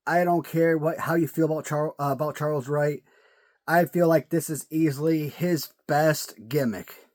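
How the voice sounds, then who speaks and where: A man's voice: fairly high pitch, darkish tone. A man giving casual video commentary on his action figures, chatty and prone to restarting words, his voice sore and cracking. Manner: chatty, repeats words while thinking. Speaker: toy collector reviewing action figures.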